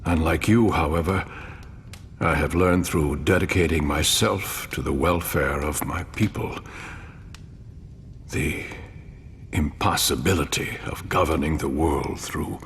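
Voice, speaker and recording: A grave male ruler with a very low voice, speaking slowly and formally. He sounds weary and principled, every phrase deliberate, as in a dramatic video game scene. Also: steady tone, a bit bright, exaggerated voice. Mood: grave, resigned. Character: weary mortal male ruler, grave, idealistic yet pragmatic. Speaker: voice actor in a game cutscene. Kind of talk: dramatic game cutscene acting. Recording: long hall reverb, low rumble.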